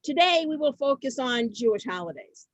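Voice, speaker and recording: A woman's voice: high-pitched, sing-song, normal speed, no room sound. A woman hosting an amateur comic readers' theater, moving between scripted lines and ad-libs. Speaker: host of a comic contest.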